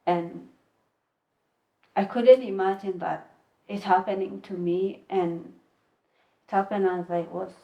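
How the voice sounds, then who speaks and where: A woman in her twenties from Kenya, speaking English as a second language, telling her personal story as a podcast guest in a soft, halting voice. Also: mid-range voice, fairly even intonation, gravelly, lo-fi sound. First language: a language other than English. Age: 20s. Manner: soft-spoken, halting, plain wording. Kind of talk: personal testimony in conversation.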